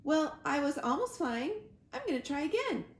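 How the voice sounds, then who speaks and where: A woman's voice: high-pitched, very animated, moderate pace, pitched above the performer's own voice. A woman voicing a young male gosling, polite, sweet and childlike, in a children's storybook. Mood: excited. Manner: earnest, childlike. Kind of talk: children's storybook read-aloud. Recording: roomy echo, clear background noise.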